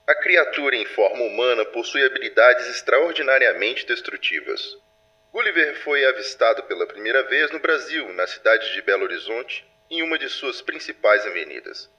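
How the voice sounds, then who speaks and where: A man performs a solemn superintendent of a secret sci-fi patrol in an audio drama. His voice sits at an ordinary male pitch with a bright, tense edge. He dictates mysterious case files in formal, deliberate phrases, grave and official throughout. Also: lo-fi sound. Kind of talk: scripted audio drama narration.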